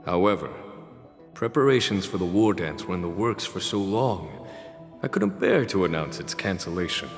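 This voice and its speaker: A deep-voiced, composed strategist general in a video game. He lays out his plans calmly and confidently, with a long reverb and soft music behind him. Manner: composed, explanatory.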